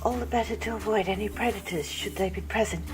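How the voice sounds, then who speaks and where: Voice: medium pitch, fast, voice pushed up, natural voice. A performer voicing a timid female bird-like alien scientist, formal, deferential and chirpy, in an audiobook story. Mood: humble, reverent. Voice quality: chirpy, singsong. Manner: meek, deferential, formal pleading. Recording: music bed behind.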